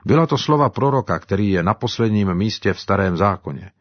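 Jubilant devout villager, played by a man, high and eager in tone, husky and dull-sounding. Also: no room sound. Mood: earnest. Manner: excited, exclaiming. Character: cheerful grateful African man, warmly devout.

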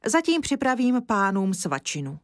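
A caring village mother read by a woman gives gentle but firm instructions. Her voice stays near an everyday tone, close and dry, as in a storybook reading. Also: on the high side, big pitch swings, no echo, voice pushed up. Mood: kindly firm. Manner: kindly instructing.

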